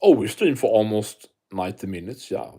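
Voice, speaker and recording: A man's voice: on the low side, animated, normal speed, dark tone, husky. A man with a thick non-native accent hosting a casual livestream, rambling and swearing as he answers viewer comments. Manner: rambling, profane, restarts often. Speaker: livestream host.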